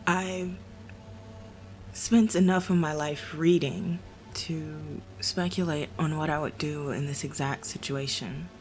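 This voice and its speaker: A guilt-ridden, bookish young survivor, confessing in a radio play. She speaks very slowly and haltingly in a lowish, hushed voice, with faint noise behind her. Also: fairly even intonation. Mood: reflective. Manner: halting, reflective, self-doubting. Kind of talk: audio drama confessional monologue.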